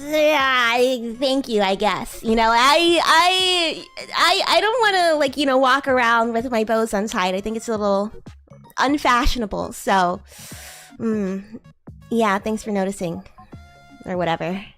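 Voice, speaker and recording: Bratty young female demon classmate played by a woman streamer: very high voice, boastful, teasing, easily flustered, roleplay stream. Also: unhurried, fairly bright tone, faint music behind. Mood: flustered, haughty. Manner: teasing, haughty, stammering, giggly. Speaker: VTuber streamer. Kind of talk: improvised roleplay livestream chatting with viewers.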